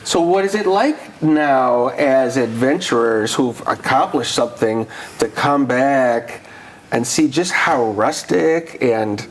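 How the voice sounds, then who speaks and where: A man narrating as game master of a tabletop role-playing game, often pausing and searching for words. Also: medium pitch, a little hiss or hum, rough recording. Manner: halting, searching for words with many fillers.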